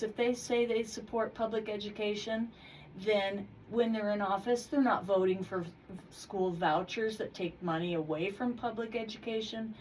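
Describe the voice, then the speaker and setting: A hoarse woman speaks in a measured, plainspoken way as a candidate in a radio studio. She sits a little back from the microphone. Faint noise hangs behind her. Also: medium pitch, far from the mic, rough recording. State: hoarse from congestion. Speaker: state house candidate. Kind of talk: radio interview.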